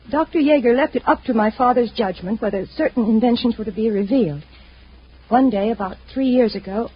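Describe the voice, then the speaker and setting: Worried young woman, earnest and pleading, old radio drama, highish voice, muffled sound. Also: breathy edge. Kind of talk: 1950s radio drama acting. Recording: muffled vintage radio recording.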